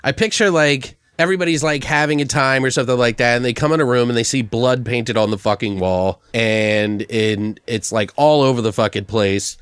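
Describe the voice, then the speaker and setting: A man's voice: mid-range voice, normal speed, few pauses, clear, resonant tone, no echo. Casual, foul-mouthed man hosting a comedy podcast. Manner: profane, animated, giggly. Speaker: podcast host.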